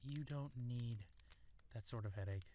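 A man acting as a gentle, affectionate young husband and new father in an intimate, one-sided audio roleplay, soft-spoken and tender. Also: mid-range voice, measured pace, slight background noise, lightly acted. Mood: firm, reassuring. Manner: tender, soft-spoken, playful baby talk at times.

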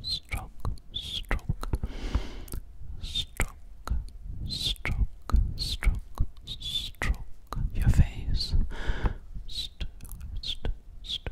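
Young female ASMR host, breathy close-mic whisper, extremely slow and soothing delivery. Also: bright, forceful tone. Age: young adult. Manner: slow, soothing, repeating words and mouth sounds. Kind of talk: ASMR personal-attention roleplay. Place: small room, indoors. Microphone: close-up microphone right at the mouth.

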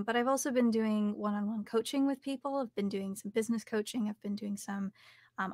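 A young adult woman speaking thoughtfully and haltingly as she answers interview questions. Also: mid-range voice, vocal fry, no room sound, clean studio sound. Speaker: actor and audiobook narrator, guest. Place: home recording studio, video call.

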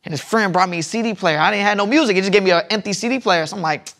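Young man telling stories, gravelly. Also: sits high, very fast, fairly bright tone. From Southern United States. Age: young adult. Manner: rambling storytelling with restarts. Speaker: podcast guest. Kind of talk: casual interview chat. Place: studio lounge set. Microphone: lapel mic.

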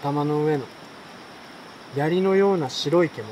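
A man with a fairly high voice reads a story aloud in a relaxed style. He pauses between phrases. Faint night insects and campfire noise sit behind him outdoors. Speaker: reader. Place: campsite outdoors by a fire and stream. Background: insects, crackling fire, stream.

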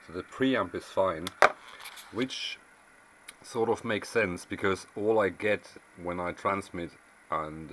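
Clear background noise sits under a man's very low voice, caught on a camera mic in a small workshop. He gives an unscripted technical walkthrough as a repairer. His manner is matter-of-fact, working things out aloud as he goes. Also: fairly bright tone. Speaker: amateur radio repairer presenting. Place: small indoor workshop at an electronics bench.

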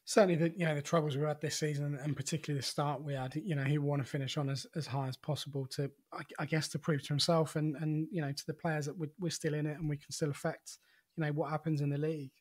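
A man co-hosting a football podcast, talking in a relaxed, conversational way with frequent "you know"s and restarts. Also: a little high, fast, slightly rough. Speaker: podcast co-host. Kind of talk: casual football podcast chat.

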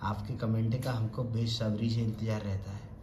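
A man's voice: lowish voice, little pitch movement, moderate pace, dark tone. A man in his thirties giving a chatty home cooking demonstration to viewers in a tiled kitchen, filmed on a phone held close. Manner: chatty, addresses viewers as friends. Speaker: cooking vlogger. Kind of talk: casual home cooking demo. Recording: roomy tiled kitchen, faint background noise.

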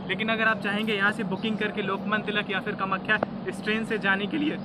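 A young man with a high, fairly flat voice talks into a phone held at arm's length, explaining stations and halts in an informative travel-vlog manner. Loud train and platform noise sits under his voice. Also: fast talker. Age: young adult. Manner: informative, rattles off station facts and numbers. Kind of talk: casual travel vlog narration. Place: outdoors on railway platforms and at the train door. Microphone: phone held at arm's length, selfie framing.

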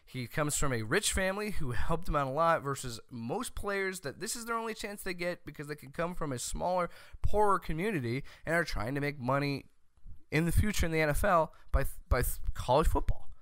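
An American man hosts a sports show with loud, emphatic opinions. His voice is crisp and fairly high, and it sits close and dry on a studio mic. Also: expressive, fairly quick, dry recording. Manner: loud, emphatic, exasperated ranting. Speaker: host of a college football show. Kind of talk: opinionated sports commentary to camera. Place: small room at home. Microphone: close studio mic on a boom arm.

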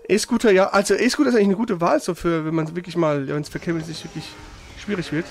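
High-voiced slangy man chatting while gaming. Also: fast. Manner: colloquial, slangy, swearing. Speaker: gaming streamer playing and chatting. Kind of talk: casual chat and live game commentary.

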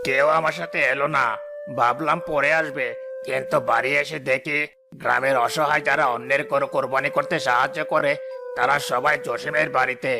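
A man's voice: medium pitch, steady tone, fairly quick, creaky, close to an everyday voice. A man playing a proud village headman in a moral cartoon. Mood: dismayed. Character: proud, vengeful village headman, later humbled and remorseful. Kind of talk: Bengali moral cartoon dialogue. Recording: music behind the voice.

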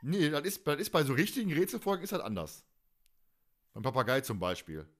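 A man in his forties from Germany chatting casually as a podcast host, in an animated, rambling, colloquial way. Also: fairly high pitch, brisk. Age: 40s. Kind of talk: casual chat.

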